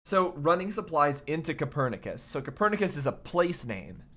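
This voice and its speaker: A man's voice: a little high, very animated, normal speed, fairly bright tone. Puzzled man thinking aloud in game commentary. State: recovering from covid, voice slightly affected. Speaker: host of a video game reaction show. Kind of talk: casual rambling reaction commentary.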